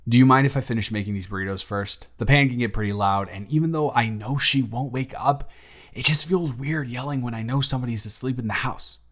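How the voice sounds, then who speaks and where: A young man playing a grateful single father who talks softly and teases gently in a romantic audio story. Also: medium pitch, brisk, lightly acted. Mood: tender, playful. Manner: soft-spoken, gently teasing. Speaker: audio drama performer.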